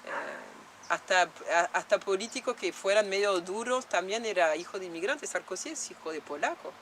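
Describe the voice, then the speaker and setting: A woman's voice: mid-range voice, lively intonation, moderate pace, a bit bright, slight background noise. A woman from France in an interview, speaking reflectively with many fillers and restarts. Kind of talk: documentary interview.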